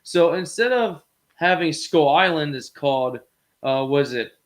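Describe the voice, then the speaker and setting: A man's voice: fairly high pitch, lively intonation, a little slow. A man co-hosting a casual movie chat, rambling informally and often searching for his words. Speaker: podcast co-host.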